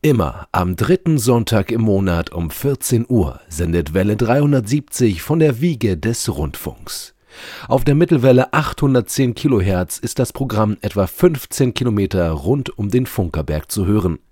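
A man's voice: on the low side, very animated, moderate pace, dark tone, dry recording, studio quality. A man reading a scripted station announcement.

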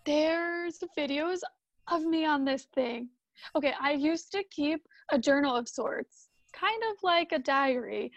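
An actress playing a sentimental, soft-hearted grown daughter in conversational radio drama dialogue. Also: female voice, high voice, unhurried, no echo, lo-fi sound. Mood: reflective. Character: Hannah, sentimental grown daughter reuniting with old friend.

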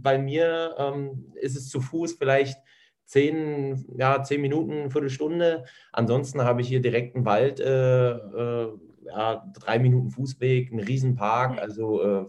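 Guy, average pitch, easygoing, lots of uhs while he talks. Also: darkish tone. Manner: easygoing, hesitant, many fillers. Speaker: guest, ice hockey player.

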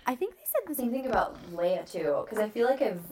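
A woman in a casual podcast chat, fast-talking and quick to laugh and react. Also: on the high side, animated, distant mic, crowd noise.

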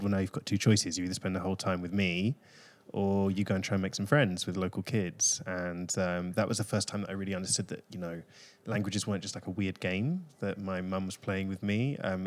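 Deep-voiced London man, thoughtful. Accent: London English. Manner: thoughtful, with many ums and kind ofs. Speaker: guest. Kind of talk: casual interview conversation.

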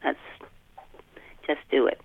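A Canadian woman in her fifties chatting casually as an interview guest, animated and self-deprecating as she tells stories. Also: takes it slowly, no echo. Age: 50s. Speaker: fantasy author, podcast guest. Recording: tinny telephone-like line.

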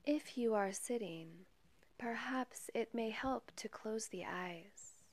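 Slow, soft-spoken woman guiding meditation. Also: on the high side, dry recording. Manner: calm, measured, gentle, with long pauses. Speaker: meditation guide and podcast host. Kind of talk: guided meditation.

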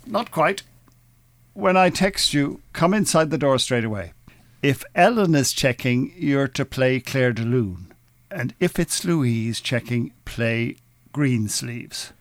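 An actor with an Irish accent playing a scheming, bossy hypnotist con-artist in an audio drama, commanding and smooth-talking. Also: male voice, medium pitch, lively intonation, slow pace, no room sound.

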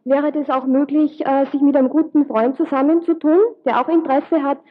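A woman's voice: high voice, fairly even intonation, fairly quick, phone-quality sound. A woman in the audience asking a long, careful, formal question with small hesitations. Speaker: audience member.

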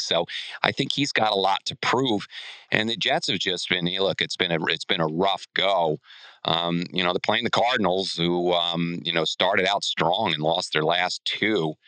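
A man's voice: on the low side, bright, forceful tone, no echo, low-quality recording. A man hosting a casual sports chat in an informal, conversational way. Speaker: podcast host.

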